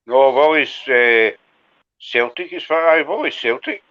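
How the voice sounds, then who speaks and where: A man's voice: mid-range voice, animated, moderate pace, clean tone. A Scottish man hosting a casual live chat, rambling and self-deprecating as he talks. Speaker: host. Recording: dull lo-fi audio.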